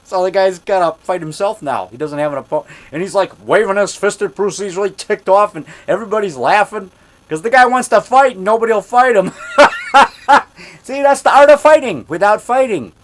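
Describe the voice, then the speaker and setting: A man in his fifties telling a rambling story in a loud voice, repeating himself and getting worked up as he goes. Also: high-pitched, expressive, normal speed. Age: 50s. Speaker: monster movie maker telling a story. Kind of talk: casual storytelling monologue.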